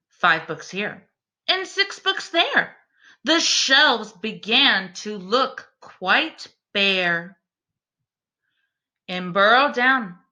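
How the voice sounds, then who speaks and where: A woman teacher reading a picture book aloud to children expressively and deliberately, pausing to put questions directly to her young listeners. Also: mid-range voice, bright, forceful tone.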